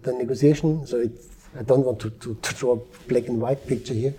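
A man from Europe, a former police negotiator, talking calmly and with measured pauses in an interview. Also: medium pitch, a little hiss or hum. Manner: calm, measured, with frequent restarts. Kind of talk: interview conversation. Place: Dubai.

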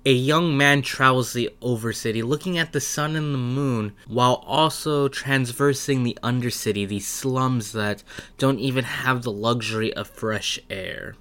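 A man's voice: mid-range voice, unhurried, fairly bright tone. A man hosting and narrating a tabletop role-playing game as game master. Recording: music under the voice. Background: music.

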